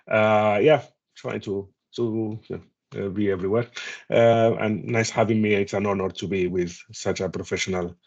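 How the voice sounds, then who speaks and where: A man from Spain with a Spanish accent, introducing himself as a panelist, speaking haltingly with many fillers. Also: fairly low pitch, steady tone, darkish tone, muffled recording. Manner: halting, many fillers and restarts, occasional laugh.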